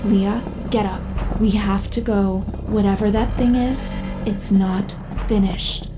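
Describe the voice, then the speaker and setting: A woman voicing a calm, precise computer companion in an audio drama, with formal, measured delivery. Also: mid-range voice, music in the background, close to an everyday voice. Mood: urgent.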